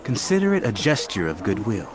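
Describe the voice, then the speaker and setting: An actor playing a courteous, wealthy official in a video game, with a polite and formal manner. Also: male voice, on the low side, huge pitch swings, fairly quick, a crowd in the background. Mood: earnest, measured. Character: courteous, wealthy male official seeking travel companions. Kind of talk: video game cutscene acting. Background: background music.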